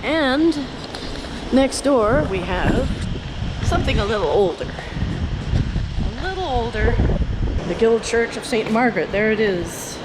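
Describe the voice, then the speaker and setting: A woman's voice: fairly high pitch, very animated, a little slow, pauses often. A woman giving casual, off-the-cuff walking commentary as a video host, chatty and rambling with wry asides to herself. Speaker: host and tour guide of a city walk video. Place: out and about at a London skyscraper and its streets. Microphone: handheld camera on a stick. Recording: noisy outdoor ambience with city traffic.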